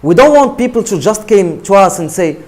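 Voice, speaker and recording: A man from Morocco gives an emphatic motivational talk to students in English. His voice sits fairly high and swings widely in pitch. He repeats words and keeps asking his listeners "right?". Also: animated, fairly quick, darkish tone. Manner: emphatic, repetitive, asks "right?" often.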